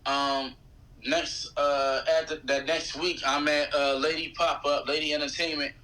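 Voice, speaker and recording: An American man talks casually as a guest on a podcast. His voice sits a little high and has a bright, forceful edge. He leans on slang and repeats his phrases to press a point. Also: little pitch movement, a little slow, low-quality recording. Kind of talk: casual chat.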